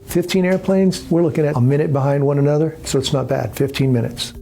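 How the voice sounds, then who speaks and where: A man's voice: on the high side, fairly even intonation, quick pace, vocal fry, warm tone, soft background music. A man in an interview, explaining air traffic control work in a matter-of-fact way.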